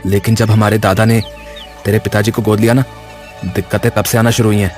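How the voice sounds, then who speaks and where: A man's voice: lowish voice, little pitch movement, fairly quick, a little mellow, faint music behind, natural voice. A man playing loyal, playful village boys in an audio story, chatting in a brotherly way. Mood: chatty, affectionate. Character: two loyal, playful young village cousins. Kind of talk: Hindi audio story drama.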